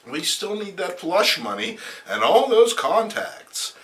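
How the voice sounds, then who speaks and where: Man playing female criminal mastermind, high and forceful, bossy, scornful, theatrical, comic book villain, swooping delivery. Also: sing-song, slow pace, exaggerated voice. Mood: bossy conspiring.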